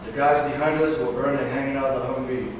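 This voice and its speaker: Man in his twenties, veteran speaking to an audience, flat delivery, halting with fillers, distant and very echoey hall recording. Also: mid-range voice, slight background noise. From Northern California. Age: 20s. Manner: halting, with fillers and self-corrections. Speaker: veteran giving a talk. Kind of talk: personal speech read to an audience.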